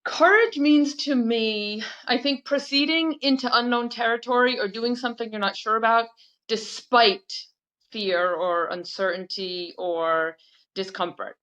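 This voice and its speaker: A woman in her sixties from the United States, a podcast guest speaking in a thoughtful, measured way with small hesitations. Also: on the high side, steady tone, fairly bright tone. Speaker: guest, nurse practitioner. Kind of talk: conversational podcast interview. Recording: dull lo-fi remote audio.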